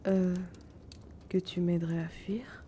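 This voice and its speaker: A gentle, wounded young man who sells potions, acted by a woman, in an intimate fantasy audio drama. The voice is soft and dark, slightly low for a woman, unhurried and hesitant, with breathy confiding turns. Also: fairly even intonation, a little hiss or hum. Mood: sheepish.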